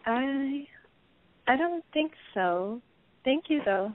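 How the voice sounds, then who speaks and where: A woman's voice: sits high, lively intonation, slow. A woman playing a reserved, wary, polite housewife in an audio drama, on a narrow line with some noise. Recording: narrow, noisy, dull line with clicks.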